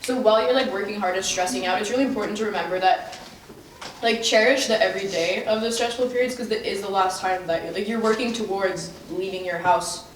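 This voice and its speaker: A woman's voice: mid-range voice, quick pace. A young female student from Norway speaks earnestly and thoughtfully in a casual group chat. The recording comes from a distance in a roomy, echoey space with faint noise. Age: young adult. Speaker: college student. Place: college dorm common room.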